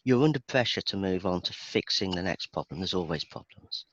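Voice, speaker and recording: A man's voice: deep voice, lively intonation, fairly quick, dry recording, lo-fi sound. A man from Birmingham, England with a Birmingham accent, chatting casually as an interview guest in a self-deprecating, talkative way. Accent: Birmingham English. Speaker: interview guest, database performance expert.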